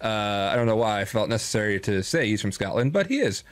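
A man in his thirties hosting a casual streamed chat, excitable, swearing freely and laughing often. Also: lowish voice, fast, no room sound. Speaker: podcast host.

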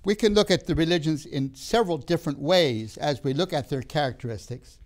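Older guy lecturing, kinda high voice, slow careful pauses, lapel mic in a classroom. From Tanzania. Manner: measured, emphatic pauses, gestures while talking. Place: studio classroom with chalkboard.

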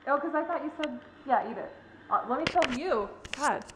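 Young woman, bubbly and chatty, slightly high voice, filming herself at home on a handheld camera, giggles often. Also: expressive, normal speed, roomy sound, noisy background. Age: young adult. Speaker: vlog co-host. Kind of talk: casual chat. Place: home kitchen and living room, indoors.

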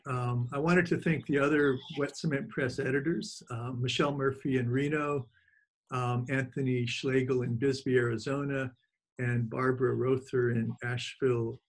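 A man informally hosting an online event, speaking in a halting, appreciative way as he gives thanks and announcements over a video call. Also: medium pitch, fairly even intonation, low-quality recording. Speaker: host of an online book reading, press editor.

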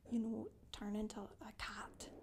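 A woman in her thirties playing a chatty Scottish witch in soft-spoken, breathy, deadpan ASMR storytelling with a put-on Scottish accent. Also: medium pitch, measured pace, slight background noise, lightly acted. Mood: gossipy, nonchalant. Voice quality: breathy, whispery. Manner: hesitant, colloquial, deadpan.

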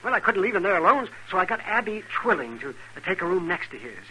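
An actor playing a fussy, kindly frontier doctor in a 1950s radio western, chatty and folksy. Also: male voice, sits high, sing-song, fast talker, pushed and bright, husky, full character voice. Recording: noisy old 1950s radio recording.

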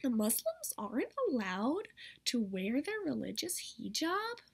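A woman's voice: high voice, very animated, unhurried, creaky, breathy edge, voice pushed up, full character voice. A teenage girl voicing a stereotypical affected teen girl whose speech swings up and down between notes.

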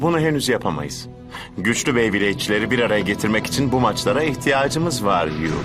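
A scheming cartoon villain, played by a man, speaks in a low, smooth voice. He is measured, formal and condescending, with music clearly playing behind him. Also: close to an everyday voice. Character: scheming adult male villain, smooth and calculating. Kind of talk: Turkish-dubbed anime acting.

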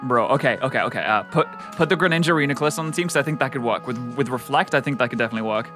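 A man in casual chat on a video call while playing a game, excitable and swearing at times. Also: mid-range voice, racing. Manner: excitable, swears, laughs often. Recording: game music underneath.

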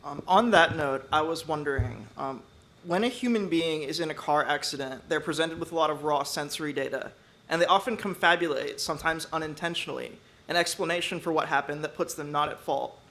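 Guy in the audience asking a careful question, bright sharp voice. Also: on the high side, brisk.

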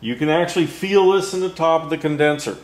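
An older man explaining a technical diagram in an unscripted lesson, slowly and haltingly, with many fillers and restarts. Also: fairly high pitch. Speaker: HVAC instructor. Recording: roomy echo.

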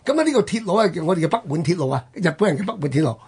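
A man with a Hong Kong Cantonese accent and a husky voice, telling history conversationally as a radio host, emphatically drawing out the ends of his phrases. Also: high voice, sing-song, darkish tone. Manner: emphatic storyteller with drawn-out phrase-ending particles.

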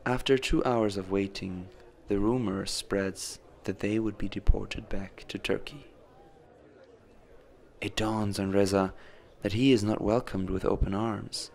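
A man delivers scripted documentary narration. His voice is on the low side with heavy vocal fry. He speaks in a measured, deliberate way, telling the story line by line. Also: fairly even intonation, a little mellow.

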